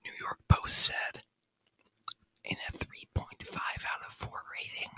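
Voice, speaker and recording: A man whispering softly and breathily in an unhurried ASMR review style, close to the microphone. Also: low-quality recording. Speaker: ASMR video host.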